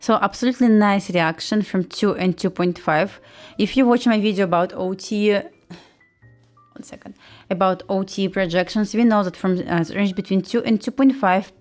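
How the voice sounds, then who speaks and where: Russian accented woman chatting on a trading livestream, casual informal english, music playing behind her. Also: medium pitch. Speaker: host, futures day trader.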